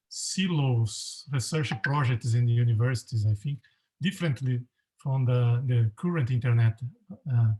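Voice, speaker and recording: Mellow-voiced professor, measured speech. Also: male voice, medium pitch. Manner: measured, hesitant with many fillers. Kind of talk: panel discussion.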